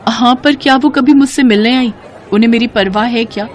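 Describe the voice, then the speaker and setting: A woman's voice: on the high side, fairly quick, lightly acted. A woman voicing a modest, principled young college woman in a cartoon story, earnest and restrained with a hurt edge. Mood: reluctant, hurt. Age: young adult.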